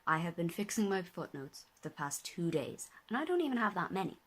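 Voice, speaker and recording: A tired, slightly hoarse young woman talking casually to her phone camera at home, rambling, correcting herself and sometimes dropping to a whisper. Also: lowish voice, big pitch swings, fairly quick, poor audio. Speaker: vlogger, master's student. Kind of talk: casual vlog talk to camera. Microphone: handheld phone at arm's length.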